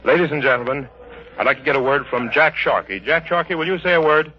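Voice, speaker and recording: A man's voice: on the high side, fast. A man reporting live as a 1930s radio announcer, with florid, formal phrasing. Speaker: dressing-room radio reporter. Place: indoor stadium dressing room. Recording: dull 1930s radio broadcast.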